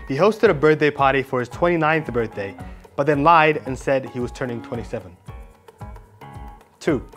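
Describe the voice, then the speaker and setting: A man hosting snarky scripted commentary in a sarcastic manner. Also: a little high. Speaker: host.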